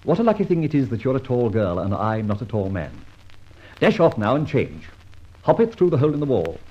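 An Australian actor playing a suave, playful gentleman thief in a 1950s radio drama, brisk and theatrical. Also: mid-range voice, sing-song, breathy edge. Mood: scheming, playful. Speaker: lead character. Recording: dull old radio recording, faint hiss.

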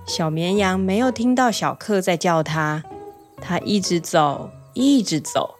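Woman narrator, gentle bedtime tale, soft music underneath. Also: fairly low pitch, a little slow. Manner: gentle, storytelling with lively exclamations. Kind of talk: children's bedtime story narration.

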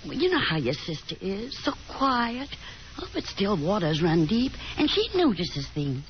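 A woman's voice: medium pitch, sing-song, unhurried. Fretful, nagging mother, swooping voice. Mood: worried, nagging. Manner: coaxing, plaintive, fussy. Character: fretful, nagging, self-pitying middle-aged mother of grown children. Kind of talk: 1950s radio drama acting. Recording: dull, hissy old 1950s radio broadcast.

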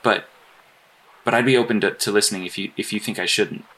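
A man acting as an earnest hero-industry agent in an audio drama. His voice sits a little low but has a bright, forceful edge. He speaks haltingly, stammering his way along in an awkward, apologetic manner. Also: steady tone, low-quality recording.

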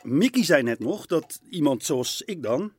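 A man's voice: a little high, very animated, a little slow, breathy edge, heavily acted. An actor playing a self-important, needy male radio editor in a radio comedy, talking in an earnest, pedantic way. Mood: needy, pleading.

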